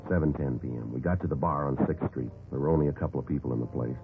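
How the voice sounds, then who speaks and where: A deadpan male police detective from 1950s radio drama, with a very deep voice. He talks in quick, clipped, businesslike phrases. The sound is tinny, like an old broadcast. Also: loud music behind the voice. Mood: flat, factual. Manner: deadpan, clipped, matter-of-fact. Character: terse, businesslike male police detective sergeant narrating cases.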